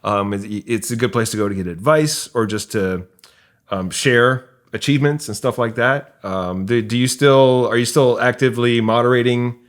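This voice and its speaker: A man hosting a casual interview, phrasing his questions and comments in a hesitant, halting way. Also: medium pitch. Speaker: podcast host interviewer.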